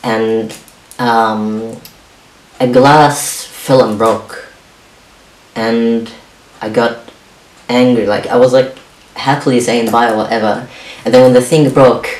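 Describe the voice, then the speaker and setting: A young man whose first language is Arabic, talking in a halting, hesitant way with many restarts in a confessional home vlog. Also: medium pitch, steady tone. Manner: halting, hesitant, soft-spoken with frequent restarts. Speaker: vlogger. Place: small home kitchen, indoors. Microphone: camera on a stand a few feet away. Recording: roomy distant camera mic with faint hiss.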